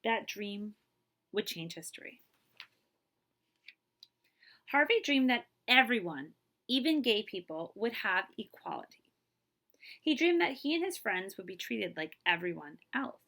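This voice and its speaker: A woman librarian reading a picture book aloud to children, with expressive, emphatic phrasing and casual asides. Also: medium pitch, normal speed.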